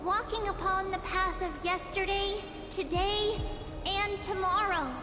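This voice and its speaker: A tiny-voiced ethereal herald in a video game. The voice is extremely high and slow, chanting ceremonially in long reverb over music. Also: female voice, steady tone. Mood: reverent.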